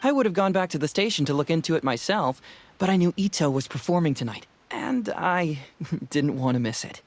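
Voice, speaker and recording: A woman's voice: sits low, animated, a little fast, a little mellow. A woman voicing a confident young investigator in an anime video game, composed but playfully theatrical and teasing. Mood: reassuring.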